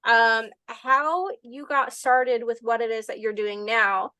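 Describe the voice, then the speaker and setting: A woman with a slightly higher voice hosts a video-call interview. She asks friendly questions with plenty of fillers and laughs. The sound is dry, with no room around it. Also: fairly even intonation, slow, sharp tone, no room sound. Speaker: YouTube channel host, interviewer. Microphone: laptop on a video call.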